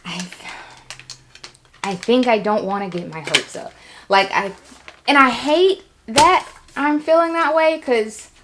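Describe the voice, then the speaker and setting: A woman vlogger speaking candidly and informally in a halting way, as a writer talking about her own creative work. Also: a little high, background noise. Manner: halting, informal, acts out quoted remarks. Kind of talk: candid personal vlog. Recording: echoey room sound.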